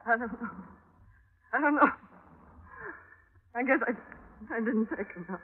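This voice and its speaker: A woman's voice: a little high, measured pace, close to an everyday voice. An actress playing a dazed, weak young woman speaking falteringly in a 1940s radio drama. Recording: tinny, hissy vintage radio recording.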